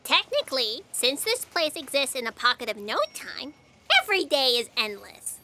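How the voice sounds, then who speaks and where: A woman acts a chirpy, literal-minded fact checker for comic sci-fi audio drama. The voice is high, piercingly bright and very animated. She sounds pert, pedantic and a little defensive. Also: normal speed, exaggerated voice.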